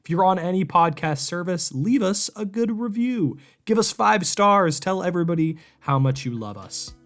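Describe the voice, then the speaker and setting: A man's voice: high-pitched, animated, normal speed. A man hosting a podcast in a casual, conversational style, talking loosely and informally. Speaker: podcast host and game reviewer. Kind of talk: casual podcast interview chat.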